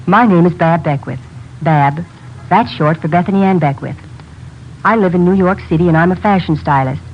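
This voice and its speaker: A woman's voice: fairly low pitch, moderate pace. A woman delivering a scripted testimonial for a 1950s radio advertisement. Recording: muffled old radio recording, faint noise.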